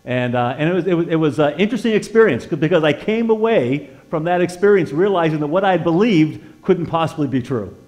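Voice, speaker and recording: An American man in his sixties giving an informal sermon with personal testimony as a guest preacher in a church, conversational and halting, repeating words as he feels his way and putting questions to his listeners. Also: fairly high pitch, expressive, a little mellow. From northeastern United States. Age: 60s. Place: church sanctuary, indoors, at a lectern.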